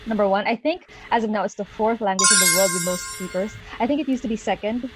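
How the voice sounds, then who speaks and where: A woman's voice: medium pitch, fast talker, over loud music, low-quality recording. A Filipino woman in her thirties, a native Tagalog speaker, gives an online presentation over a video call. She speaks in a friendly way, often checks in with "okay?" and uses many "uh" fillers. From Philippines. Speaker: guest speaker.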